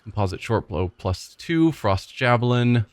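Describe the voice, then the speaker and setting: A man hosting a let's play, reading game text aloud and musing in a relaxed, chatty way. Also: medium pitch, a little slow, clear, resonant tone, no room sound. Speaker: gaming channel host.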